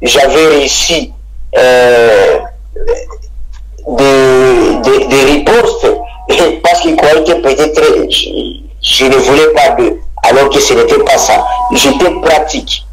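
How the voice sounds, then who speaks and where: A man's voice: medium pitch, slow, over music, poor audio. A man from DR Congo with a Congolese French accent, an expert guest arguing his opinions in an interview, insistent and repeating phrases for emphasis. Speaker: electoral reform expert being interviewed.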